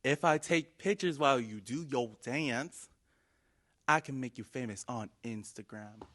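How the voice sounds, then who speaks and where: Male dramatic reader, average pitch, very slow mock-serious delivery. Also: a bit bright. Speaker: news reporter reading lyrics. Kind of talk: dramatic spoken reading.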